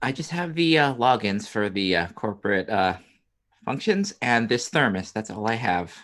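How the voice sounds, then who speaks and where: Officious spaceship company suit acted by a man, fairly low, formal, hesitant, for tabletop roleplay. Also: very animated, moderate pace, a bit bright. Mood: stiff, officious. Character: officious corporate company representative aboard a spaceship.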